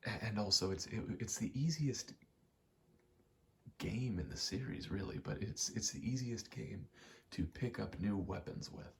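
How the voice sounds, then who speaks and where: A man giving a rambling ASMR gaming commentary, halting and meandering as he thinks aloud while playing. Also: fairly low pitch, gravelly, fairly bright tone, slight background noise. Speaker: gaming video creator.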